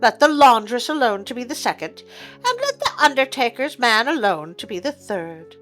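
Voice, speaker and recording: A woman's voice: fairly high pitch, big pitch swings, normal speed, fairly bright tone, soft background music, pitched above the performer's own voice. A woman voicing a brazen, defiant thieving servant woman in a storybook reading, bold and scornful. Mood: brash.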